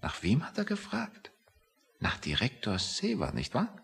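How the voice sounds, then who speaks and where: A man's voice: mid-range voice, huge pitch swings, unhurried, vocal fry, a bit bright, exaggerated voice. An actor in a satirical radio play playing a pompous, fretful male civil servant with a querulous, suspicious manner. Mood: brooding, suspicious.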